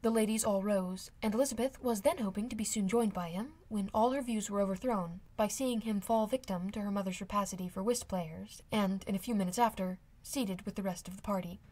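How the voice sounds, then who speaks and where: A woman's voice: medium pitch, fairly quick, hardly any pauses, dark tone. A woman reading a classic novel aloud as scripted audiobook narration. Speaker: amateur audiobook reader.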